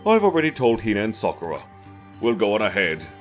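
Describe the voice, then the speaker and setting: A cold, haughty young man, acted by a male voice actor, speaks in a fairly low but animated voice. He is impatient and condescending, giving orders in anime game fan dub style. Faint music plays behind. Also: fast. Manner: condescending, commanding.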